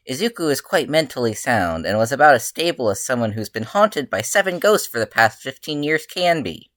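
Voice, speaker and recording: Expressive storyteller in a close, dry recording. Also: mid-range voice, no room sound. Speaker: author reading own story aloud. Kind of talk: dramatic live fan fiction reading, narrated.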